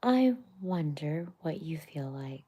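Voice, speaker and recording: A woman voicing an earnest, sensible male water rat in a soft whisper. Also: sits low, sing-song, takes it slowly, lo-fi sound, full character voice.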